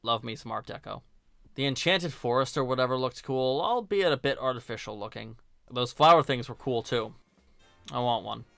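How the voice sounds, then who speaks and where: Bright-voiced man reviewing films. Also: medium pitch, lively intonation, moderate pace, creaky, no echo, clean studio sound, faint music behind. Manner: sarcastic, informal, joking. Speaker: video reviewer and host. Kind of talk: scripted video-essay commentary.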